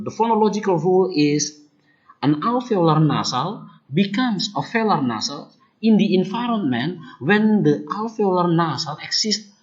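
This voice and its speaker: A speaker with an Indonesian accent whose first language is Kupang Malay, presenting research findings in a deliberate, explanatory way. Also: medium pitch, dull audio. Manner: deliberate and explanatory, often starts points with "look" or "now". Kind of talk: academic presentation on language errors.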